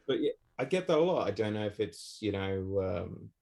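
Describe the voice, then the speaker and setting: Low-voiced man in chatty, self-deprecating talk. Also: big pitch swings, normal speed, gravelly, darkish tone. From England. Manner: rambling, self-deprecating, with frequent restarts and laughs. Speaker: guest. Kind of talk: casual podcast chat.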